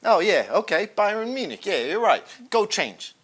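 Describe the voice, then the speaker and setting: A man's voice: sits high, very animated, normal speed, a bit bright, husky. A man co-hosting a fan show, joking around in an exaggerated put-on British and Australian accent full of slang. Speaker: co-host. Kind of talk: joking banter.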